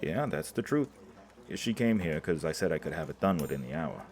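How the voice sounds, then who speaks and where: An actor playing a blunt, sarcastic, folksy blind key maker with a gravelly voice in an audio drama. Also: male voice, very deep voice, sing-song, a little fast, darkish tone, full character voice. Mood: chatty, wry. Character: gruff, sardonic blind male key maker, chatty and blunt.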